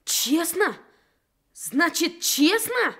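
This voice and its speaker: A young performer playing a hurt, bitter and defiant schoolboy in a radio drama. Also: male voice, very high voice, very animated, very slow, bright, forceful tone, heavily acted. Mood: accusing.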